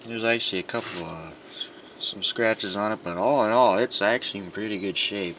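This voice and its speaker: A man's voice: lowish voice, moderate pace, fairly bright tone. A man giving a casual, unscripted show-and-tell as a collector, rambling and musing to himself as he handles things. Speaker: record collector presenting his albums. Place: indoors in a dimly lit room. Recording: dull lo-fi sound with clear background noise.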